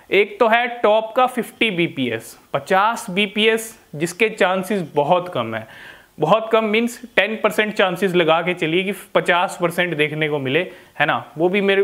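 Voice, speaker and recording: A man's voice: high voice, a bit bright. A man in his thirties with an Indian accent, giving informal market commentary to camera in an explanatory way, often checking in with short tag questions. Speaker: crypto vlogger. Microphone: lapel mic.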